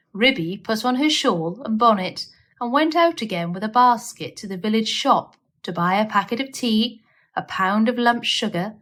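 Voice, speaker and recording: A woman narrating a children's storybook aloud in a measured, expressive read-aloud style. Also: medium pitch. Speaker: storyteller reading a children's book.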